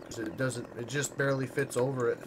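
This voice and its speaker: A man streaming a game, chatting in a relaxed, rambling way and chuckling now and then, his voice congested from a stuffed-up nose. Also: mid-range voice, fast. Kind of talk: casual commentary while playing. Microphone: streaming mic covered with a sock. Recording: loud game noise behind voice. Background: chainsaw and vehicle sounds from the game.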